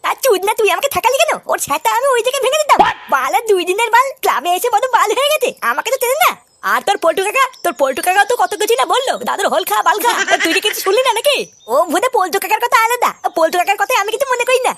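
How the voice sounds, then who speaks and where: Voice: very high voice, big pitch swings, racing, a bit bright, no room sound, exaggerated voice. A performer voicing a cheeky, foul-mouthed young boy in a comedy cartoon, brash and whiny. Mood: aggrieved. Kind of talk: exaggerated Bengali cartoon comedy dialogue.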